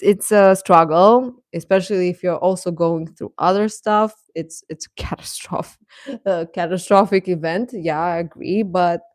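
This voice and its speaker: A woman with a slightly low voice talks casually to her livestream audience. She is encouraging and reassuring as she offers advice, and the sound is dry, with very little room on it. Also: no echo. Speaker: livestream host talking with young viewers.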